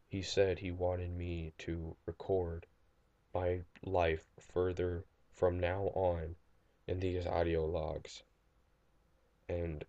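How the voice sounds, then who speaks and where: Guy playing shaken young survivor doing an audio diary, deep voice, slow and stumbling over words. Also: mellow, no room sound, dull audio. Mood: weary, pleading. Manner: halting, distraught, stammering and repeating himself. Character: traumatized young man recording an apocalypse survivor's audio log. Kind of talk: amateur audio drama monologue, diary-like.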